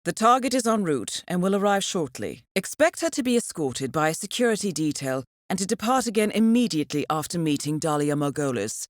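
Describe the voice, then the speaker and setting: An actress playing a composed, formal and dryly witty intelligence handler who briefs an assassin in a video game. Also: female voice, lowish voice, big pitch swings, a little fast, hardly any pauses, no room sound, studio quality. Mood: composed, businesslike. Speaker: mission handler.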